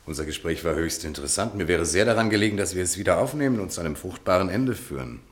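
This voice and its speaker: Deep-voiced, courteous lawyer. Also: male voice, lively intonation, fast. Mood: courteous, smooth. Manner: polite, formal. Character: suave, courteous, calculating male lawyer. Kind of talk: German radio play dialogue.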